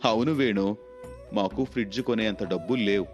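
A humble, contented young man, played by a male storyteller, speaking with calm reassurance. It is a moral storybook delivery, with clear music underneath. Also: fairly low pitch, normal speed, lo-fi sound, voice pushed down, close to an everyday voice. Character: Giri, contented humble poor young friend. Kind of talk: Telugu moral storybook acting.

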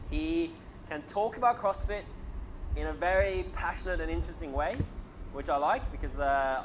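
A man in his thirties hosting a casual video diary, chatty and relaxed, with many fillers, recorded outdoors on a lapel mic. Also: a little high. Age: 30s. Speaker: vlog host. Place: outdoors before a red roller door. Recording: noisy street background.

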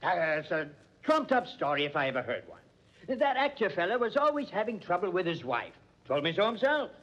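An actor playing an evasive, blustering doctor in a fussy, sputtering 1940s radio drama style. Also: male voice, high-pitched, very animated, a little fast, a bit bright, exaggerated voice. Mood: flustered, dismissive. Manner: fussy, sputtering, clipped phrases. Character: evasive, blustering doctor and lecturer with gambling debts. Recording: muffled vintage 1940s radio recording.

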